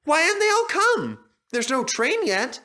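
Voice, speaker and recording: Squeaky, excitable little engine. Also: male voice, unhurried, full character voice. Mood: excited. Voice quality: squeaky, high-pitched. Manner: eager, chattering, emphatic. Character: excitable, earnest young male tank engine. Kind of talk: animated children's story character voice.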